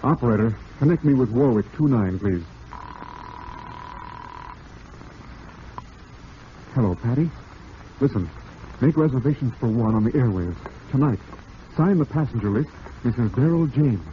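An actor playing a smooth-talking, falsely cheerful husband with murder in mind, in old-time radio drama style. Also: male voice, mid-range voice, lively intonation, fairly quick, natural voice. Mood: brisk. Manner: suave, falsely cheerful, darkly ironic. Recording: dull, noisy vintage radio recording.

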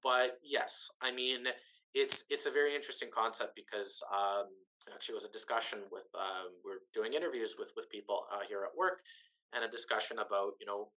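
A man's voice: fairly low pitch, brisk, sharp tone, low-quality recording. A man talking in a long-form interview over a video link, explaining things conversationally and rephrasing for emphasis. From Canada, Ukrainian diaspora family. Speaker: interview guest, businessperson.

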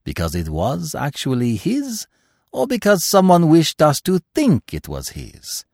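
A man's voice: fairly high pitch, wildly swooping pitch, a little slow, vocal fry, a little mellow, no room sound, clean studio sound, pitched above the performer's own voice, exaggerated voice. A man voicing a vain, fussy middle-aged male detective who lectures in a pompous, self-assured way, in an audiobook reading. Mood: lecturing.

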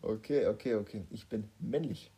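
A man's voice: lowish voice, moderate pace, dark tone, breathy edge. A man bantering casually and teasingly as he co-hosts a guessing game. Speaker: co-host playing a guessing game.

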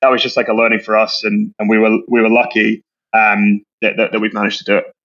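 A Scottish man, a brand co-founder, talking quickly in a casual business interview and often repeating words as he restarts. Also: lowish voice, level tone, a bit bright, muffled recording. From Scotland.

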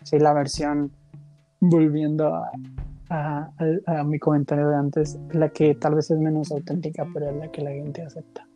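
A woman's voice: low voice, level tone, moderate pace, mellow, dull audio, soft background music. A woman in a casual, reflective conversation, thinking aloud and searching for her words. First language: Spanish. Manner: musing, hesitant, searching for words.

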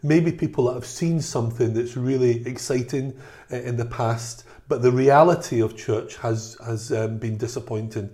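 A middle-aged man from Scotland with a softened Scottish accent, co-hosting a church podcast in an earnest, storytelling way. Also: medium pitch, normal speed. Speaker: podcast co-host, church leader. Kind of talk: casual chat.

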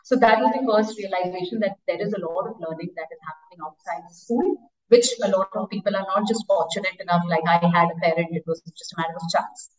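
A lowish-pitched woman with an Indian accent speaks as an interview guest. She tells her story conversationally, wandering through asides, in a strongly echoey, muffled recording. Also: fast talker. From eastern India. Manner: rambling, peppered with "like" and "you know". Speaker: interview guest, education startup founder. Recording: echoey, distant, muffled call-like audio.

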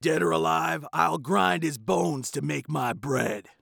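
A man voicing a huge, fearsome, bloodthirsty giant who bellows his threats slowly and drags out his words. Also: on the high side, gravelly, fairly bright tone, husky, no room sound, clean studio sound, pitched above the performer's own voice, heavily acted. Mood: bellowing threat. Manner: bellowing, slow and drawn out.

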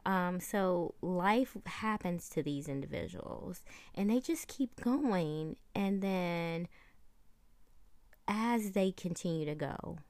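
A woman podcast host speaking in a deliberate, reassuring monologue of faith-based encouragement, with emphatic delivery. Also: on the low side, expressive, a little mellow, no room sound.

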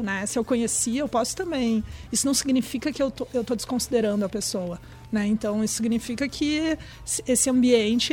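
A woman's voice: fairly high pitch, a little mellow. A middle-aged woman with a Brazilian accent, explaining things in a chatty, conversational way and often checking for agreement.

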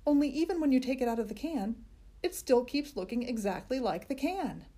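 A woman voicing an eager, boastful little schoolgirl in an audiobook, with an excited, emphatic delivery. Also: high voice, a little fast, pitched above the performer's own voice. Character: spunky bossy little schoolgirl, loud and eager. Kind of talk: audiobook character voice.

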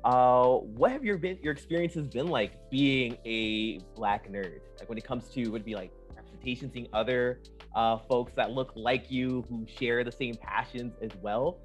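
A man's voice: medium pitch, normal speed, faint music behind. A man hosting a podcast, asking interview questions casually with a few fillers. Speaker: podcast host.

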